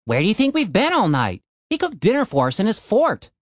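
A man's voice: sits high, huge pitch swings, fairly quick, dry recording, studio quality, pitched above the performer's own voice. A man voicing a determined, insistent young man in an audiobook story. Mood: matter-of-fact.

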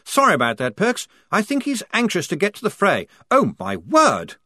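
A high, flustered young lieutenant who stammers and frets over his men, put on by a man. He talks in bursts of polite worry with a lively, swooping voice. The sound is close and dry. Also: fairly quick, no room sound, full character voice. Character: fussy nervous young male army lieutenant.

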